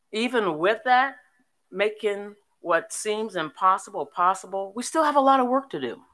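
Bright, clear-voiced older woman giving a speech. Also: low voice. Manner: earnest, emphatic, deliberate phrasing. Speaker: commencement speaker. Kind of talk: formal inspirational public speech. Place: on stage in a large hall at a graduation ceremony. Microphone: podium microphone.

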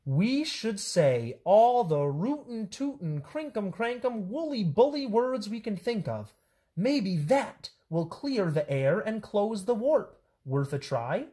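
A woman's voice: medium pitch, big pitch swings, slow pace, mellow, breathy edge, pitched above the performer's own voice, exaggerated voice. A woman voicing a bossy, conspiratorial female school nurse with emphatic, rhyming silly talk. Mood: scheming.

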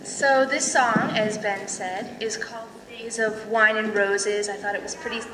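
A woman's voice: mid-range voice, steady tone, measured pace, far from the mic, noisy background. A young woman, a student singer, chatting casually and jokingly into a handheld microphone on stage. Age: young adult. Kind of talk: casual onstage chat. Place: theatre stage, indoors. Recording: echoey stage PA in a hall.

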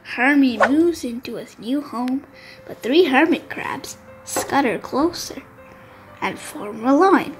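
Expressive high-pitched woman reading a storybook. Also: soft background music, low-quality recording. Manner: expressive, deliberate phrasing. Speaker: storybook narrator. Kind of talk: read-aloud narration for young children.

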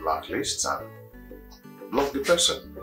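An actor playing a relaxed adult man who talks in a calm, offhand way. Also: mid-range voice, slow pace, vocal fry, exaggerated voice. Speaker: man questioning a woman. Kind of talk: skit acting. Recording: roomy echo with guitar music.

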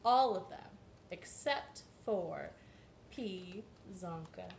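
A young woman presenting a children's storytime read-aloud in a playful, exaggerated, expressive way. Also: lowish voice, extremely slow, creaky. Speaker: children's librarian storytime host. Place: living room at home. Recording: roomy echo, some background hiss.

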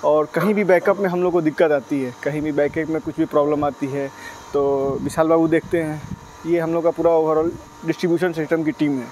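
A man in his thirties answers interview questions outdoors at night, his voice sitting fairly high for a man. He explains at length, stopping often on "uh" and slipping in English business words. Faint crickets and outdoor noise sit behind him. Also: fairly even intonation, fairly quick, darkish tone, low-quality recording. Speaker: dairy business partner. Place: outdoors at night beside a delivery van.

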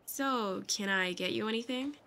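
A woman's voice: medium pitch, normal speed, bright, forceful tone. A woman voicing a friendly, grateful settler trader in a video game, speaking in an obliging, conversational way. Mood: helpful. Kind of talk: video game dialogue acting.

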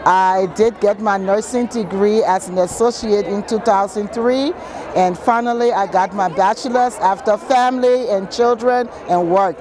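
A woman's voice: medium pitch, moderate pace, low-quality recording. A woman from Liberia, a nursing graduate, speaking gratefully and devoutly in a street interview. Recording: crowd noise behind.

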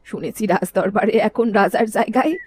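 A woman voicing a scheming old crone in a storybook cartoon, drawling slowly in a rural eastern Bengali dialect. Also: mid-range voice, a little hiss or hum, natural voice. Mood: brooding, scheming. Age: older. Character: scheming old village crone lurking in a forest.

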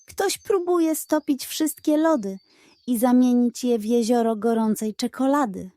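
A wise storybook owl, as a woman narrator puts her on, speaks in a high, soft-edged voice. She is grave and emphatic, pressing her warnings with care. The sound is close and dry. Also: unhurried, no room sound, natural voice. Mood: alarmed, grave. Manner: urgent, solemn, emphatic. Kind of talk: children's audiobook storytelling.

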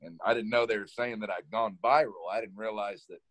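Deep-voiced man from Kansas on a video call. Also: brisk, no room sound. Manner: relaxed storytelling, laughs often, repeats words when starting. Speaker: environmental educator guest. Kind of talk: casual interview over video call. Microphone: webcam on a video call.

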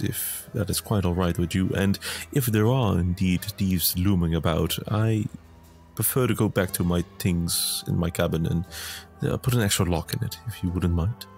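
A man voicing a polite, timid gentleman who speaks in formal, wordy, hesitant sentences. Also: sits low. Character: polite, timid, fussy gentleman avoiding trouble.

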